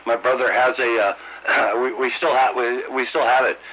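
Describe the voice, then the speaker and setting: A middle-aged or older American man chatting casually as a radio hobbyist, halting and repeating his words, over a CB radio transmission. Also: medium pitch, fairly even intonation, a bit bright, breathy edge. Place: two-way CB radio conversation. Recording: dull, noisy CB radio transmission.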